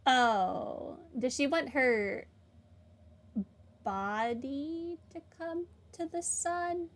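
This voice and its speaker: A young woman hosts a game playthrough. Her voice sits a little high, and she comments in a casual, conversational way. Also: extremely slow. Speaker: gaming video host.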